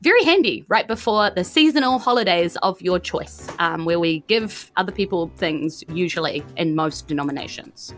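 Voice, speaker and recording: A woman's voice: fairly low pitch, expressive, normal speed, hardly any pauses, a bit bright, faint music behind. A woman with a New Zealand accent hosting a podcast in a casual, chatty way, effusive and prone to giggling. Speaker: podcast host and game master.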